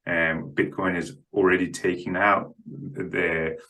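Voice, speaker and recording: A man speaking as a guest analyst in an unscripted interview, halting and often repeating the starts of words. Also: sits low, flat delivery, sharp tone, poor audio. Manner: halting, stammers on word starts.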